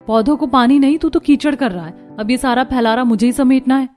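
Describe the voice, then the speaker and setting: Cartoon young daughter-in-law, played by a woman: overworked, exasperated, indignant complainer, highish pitch. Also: fairly quick, darkish tone, faint music behind, lightly acted. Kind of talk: Hindi cartoon story dialogue.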